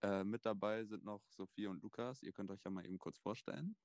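A man of twenty hosting a casual chat, relaxed and thoughtful with plenty of fillers. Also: fairly low pitch, brisk, no room sound. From Germany. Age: 20s. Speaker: podcast host.

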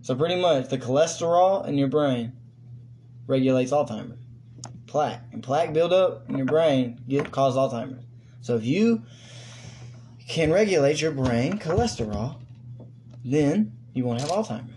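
A man's voice: mid-range voice, lively intonation, normal speed, pauses often, mellow, slight background noise. Joking, profane man on a podcast. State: high. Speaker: podcast co-host. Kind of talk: casual crude chat.

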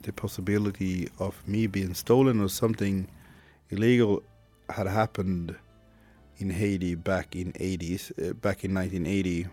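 A man's voice: deep voice, fairly even intonation, moderate pace, mellow, soft background music. A man in his thirties with a Swedish accent, hosting a candid, reflective monologue podcast and swearing casually. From Sweden. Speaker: podcast host.

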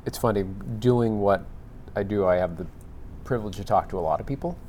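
Man, host, lowish voice, conversational questions, faint rumble behind. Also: a little fast, darkish tone. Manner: conversational, searching questions with restarts. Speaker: podcast host. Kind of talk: panel discussion.

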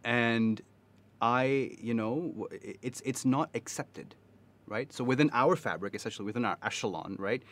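A young man from Pakistan with a softened Pakistani English accent and an American lilt, talking casually and animatedly with many fillers. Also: medium pitch, moderate pace. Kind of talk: casual podcast chat.

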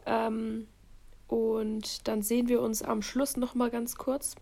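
A woman's voice: fairly high pitch, flat delivery, takes it slowly, gravelly, mellow. Young woman with a cold, chatty podcaster. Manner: chatty, laughs at herself. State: has a cold. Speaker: podcast co-host. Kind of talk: casual chat. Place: alone in her room. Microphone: phone.

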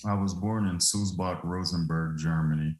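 A man in his thirties from Louisiana, guest on an interview, telling stories in a relaxed, loose way with easy laughter. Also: low voice, normal speed, echoey room. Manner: easygoing, laughs often, loose filler-heavy telling. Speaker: guest, former football player turned trucking business owner.

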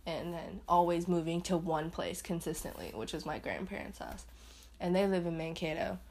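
A young woman talks loosely through a casual solo podcast, her voice sitting a little low. She rambles and laughs at herself, and now and then she jumps up into a higher playful voice. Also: normal speed, darkish tone. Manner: swings into high put-on voices. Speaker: podcast host.

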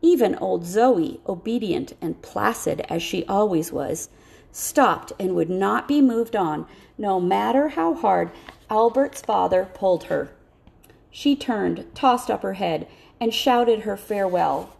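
A woman reading scripted first-person story narration as an audiobook narrator, expressive and careful. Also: mid-range voice, slow, creaky.

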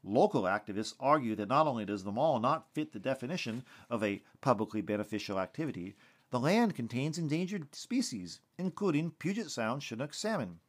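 A man's voice: mid-range voice, big pitch swings, a little fast. A man voicing a female TV field reporter who reports in a serious, concerned and emphatic way. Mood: earnest, concerned. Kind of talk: TV news report.

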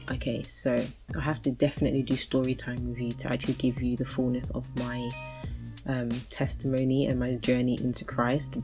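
A woman in her thirties hosting a solo podcast, chatting casually and rambling with many fillers and restarts. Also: a bass voice. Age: 30s. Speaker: podcast host.

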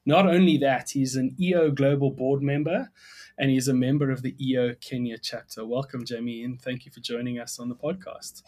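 A man's voice: medium pitch, fairly even intonation, fairly quick, a little mellow. A man hosting a podcast, introducing his guest and asking questions in a friendly, upbeat way. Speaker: podcast host. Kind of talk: interview hosting.

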